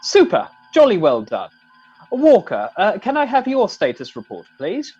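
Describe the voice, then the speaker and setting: A man's voice: sits high, huge pitch swings, unhurried, darkish tone, slightly rough, lo-fi sound, soft background music, full character voice. A man playing a polite, mild-mannered middle-aged sergeant in an amateur comedy radio play, courteous and hesitant. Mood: courteous, dithering.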